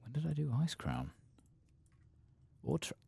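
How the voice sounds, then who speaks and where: A man softly murmuring low-key ASMR game commentary to himself, breathy and gravelly, often slipping into a whisper. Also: wildly swooping pitch, a little slow. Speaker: streamer playing a video game.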